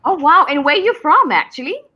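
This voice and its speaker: A woman hosting a live online interview, chatty and lively, laughing often. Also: high voice, fairly quick, muffled recording. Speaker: host.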